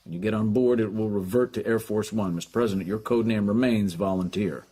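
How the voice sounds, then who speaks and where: An actor playing a dutiful government security agent, briefing in clipped, deferential phrases in an audio drama. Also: male voice, on the low side, a little fast, warm tone, breathy edge. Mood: brisk, procedural. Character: brisk, dutiful male Secret Service agent.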